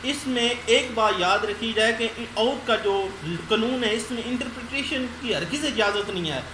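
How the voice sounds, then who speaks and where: A woman with a Pakistani accent giving a law lecture, reading notes aloud deliberately and explaining them by restating. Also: a little high. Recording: roomy echo, loud background noise.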